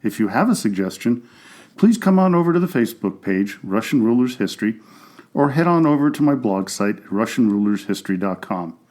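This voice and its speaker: A man hosting a history podcast, reading a scripted narration in a steady, informative way. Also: on the low side, a little fast. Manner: reads steadily from a script with an even, informative delivery.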